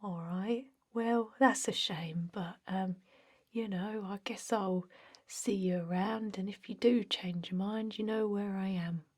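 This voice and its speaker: A woman voicing an easygoing female energy vampire who interviews for an office job, in a hushed, casual, hesitant ASMR roleplay whisper. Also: medium pitch, steady tone, unhurried, natural voice. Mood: casual, wry.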